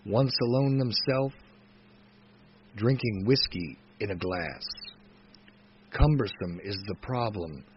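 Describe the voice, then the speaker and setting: A man gives a solemn dramatic poetry reading in a lowish voice. He takes it very slowly, swelling into emphatic phrases and easing down at line endings. The recording is dull and lo-fi. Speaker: poetry reader. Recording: dull lo-fi recording with faint noise.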